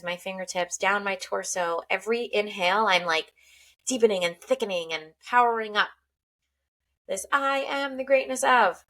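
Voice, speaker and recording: A woman leading a guided breathing meditation, talking slowly and softly in the first person as she walks through each step. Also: mid-range voice.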